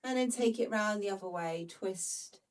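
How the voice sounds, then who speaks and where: A woman, a bit congested from hay fever, calmly guiding a follow-along Pilates workout as the instructor. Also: medium pitch, normal speed, recorded from a distance. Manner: calm, gentle cueing.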